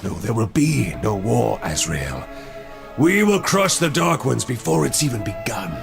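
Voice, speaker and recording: A man voicing a zealous angel leader in a video game, slow, forceful and persuasive. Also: medium pitch, big pitch swings, creaky, music in the background. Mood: resolute. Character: zealous commanding male angel leader, proud. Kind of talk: video game cutscene acting. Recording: game score under the voice.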